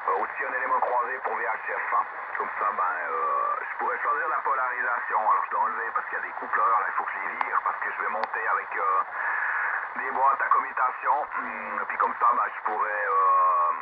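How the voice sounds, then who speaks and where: A man's voice: on the low side, brisk. A man chatting casually as an amateur radio operator, rambling and hesitant, over a shortwave radio link. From Switzerland. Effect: shortwave radio transmission. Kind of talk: casual technical chat over ham radio. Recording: narrow tinny shortwave signal, loud static noise.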